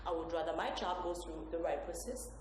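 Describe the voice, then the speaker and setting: A female co-host talks fast, throwing out probing questions and then firmly giving her own take. Her voice is somewhat low and echoes through a big reverberant hall. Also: darkish tone. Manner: quick, probing questioner, firm opinions. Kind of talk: panel discussion. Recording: echoey roomy hall with faint noise.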